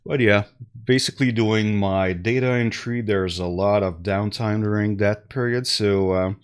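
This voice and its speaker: Slow, low-voiced man streaming with a headset. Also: smooth. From Canada. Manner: slow, relaxed, with many fillers and restarts. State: slightly congested. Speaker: tech deals streamer. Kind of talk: casual live-stream talk to chat. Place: small room at home.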